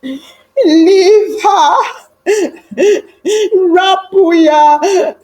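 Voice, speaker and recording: A woman voicing a distraught, tearful young boy in a folktale, pleading in drawn-out repetition. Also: very high voice, darkish tone, pitched above the performer's own voice, full character voice. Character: distraught, tearful seven-year-old boy, muttering obsessively.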